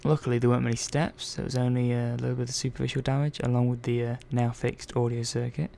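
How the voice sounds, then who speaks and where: Sci-fi ASMR technician, played by a man: creaky male voice, chatty and reassuring, hesitant rambling, faint noise behind. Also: mid-range voice, flat delivery, fast, warm tone, natural voice. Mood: apologetic, rambling. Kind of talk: ASMR roleplay, improvised one-to-one talk. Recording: faint background noise and ambience.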